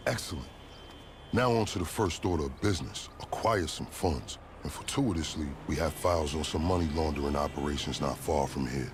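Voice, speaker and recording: A man's voice: a bass voice, expressive, a little fast, background noise. An actor playing a sly, eloquent corrupt police officer in a video game cutscene, smug and formal in his phrasing, with profanity. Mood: scheming, wry.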